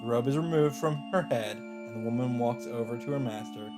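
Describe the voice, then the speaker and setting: A man's voice: medium pitch, a little fast. A man hosting a let's play, reading visual novel narration aloud. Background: game music.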